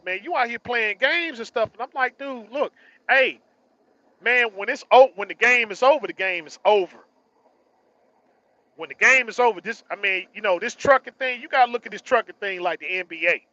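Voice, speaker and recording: A man's voice: very high-pitched, lively intonation, moderate pace, sharp tone, no echo, lo-fi sound. A man in his early forties giving a casual, slangy motivational monologue, emphatically repeating phrases for stress. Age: early 40s. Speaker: truck driver vlogger.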